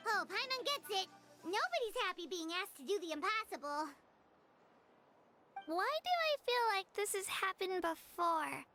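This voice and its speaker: Squeaky, tiny childlike fairy girl. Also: sing-song, moderate pace, sharp tone, faint music behind, exaggerated voice. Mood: matter-of-fact. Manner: refers to self by name, perky. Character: small chirpy childlike fairy companion girl, eager and loyal. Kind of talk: anime game voice acting.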